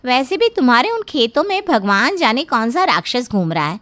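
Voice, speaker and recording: An actress as shrill, anxious village wife. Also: female voice, sing-song, moderate pace, fairly bright tone. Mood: fearful refusal. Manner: pleading, fretful, dramatic. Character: anxious village farmer's wife and protective young mother. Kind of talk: Hindi horror cartoon story acting.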